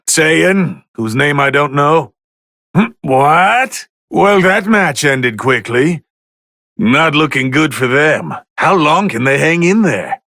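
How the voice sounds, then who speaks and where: A man playing a haughty, bored god of destruction who commentates on video game fights in a condescending, sardonic, theatrical drawl with a gravelly edge. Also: on the high side, sharp tone, no room sound, studio quality, full character voice. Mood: amused approval. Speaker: match commentator.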